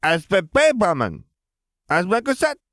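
A man voicing an excited toddler boy who speaks in insistent, garbled baby talk for a storybook reading. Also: high-pitched, a little slow, no echo, studio quality, voice pushed up, exaggerated voice. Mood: insistent, puzzled.

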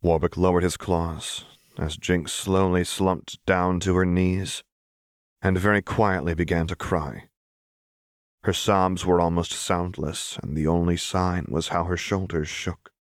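A man with a low voice narrates dramatic fiction. He reads from a script as an audiobook narrator, recorded very dry with no room sound. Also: level tone, measured pace, dry recording.

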